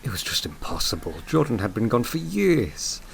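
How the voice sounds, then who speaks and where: A man narrating a scripted audio drama as a solemn, gentle storyteller, measured, with dramatic pauses. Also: medium pitch, big pitch swings, vocal fry, a little hiss or hum. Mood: solemn, wondering. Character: solemn, gentle male storyteller of a grief tale.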